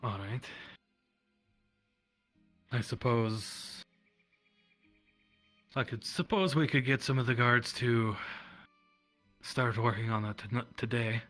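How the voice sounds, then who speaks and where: Man acting as guard officer, flat intonation, middle pitch, dutiful, hesitant, deferential, faint music behind. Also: slow pace, pauses often. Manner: hesitant, deferential, matter-of-fact. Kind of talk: improvised roleplay in a virtual-world game.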